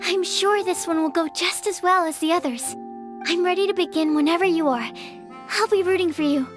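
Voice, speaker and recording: Very high-voiced earnest young alchemist. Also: female voice, a little fast. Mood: encouraging. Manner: polite, earnest, encouraging. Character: sweet, earnest young female alchemist researcher. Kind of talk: anime video game voice acting. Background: music.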